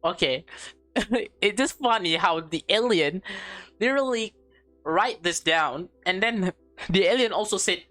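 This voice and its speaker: A woman's voice: mid-range voice, expressive, measured pace, pauses often, fairly bright tone, soft background music. A woman hosting a gaming video, giving informal, playful commentary on a visual novel in an easily amused way. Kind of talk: casual commentary while reading a visual novel.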